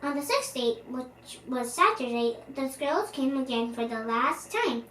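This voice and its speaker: A young girl with a high voice reads a children's story aloud at an unhurried pace. She is animated and expressive, stumbling over the odd word. The recording is roomy and a little distant, with faint noise. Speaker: storyteller, channel host.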